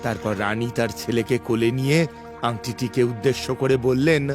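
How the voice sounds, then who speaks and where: A man's voice: mid-range voice, fairly even intonation, moderate pace, a little mellow. A man narrating a scripted fairy tale as a dramatic storyteller. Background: music.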